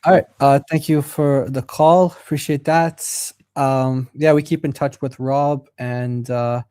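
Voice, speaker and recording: A man's voice: mid-range voice, fairly even intonation, unhurried, warm tone, clean tone, dry recording, clean studio sound. A man co-hosting a live call-in stream, easygoing and thinking aloud. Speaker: co-host. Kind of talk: casual call-in discussion.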